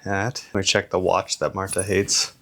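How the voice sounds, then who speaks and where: A young man vlogger chatting casually, relaxed and agreeable, with frequent short yeahs. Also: sits low, normal speed. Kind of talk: casual chat.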